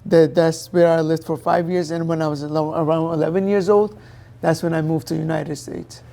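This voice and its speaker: A young man from Pakistan, a native Urdu speaker, talks in a gravelly, mellow voice pitched a little high. He answers plainly, thinking aloud and leaning on fillers as he goes. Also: steady tone, brisk. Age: young adult. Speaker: guest, professional boxer. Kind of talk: interview answers.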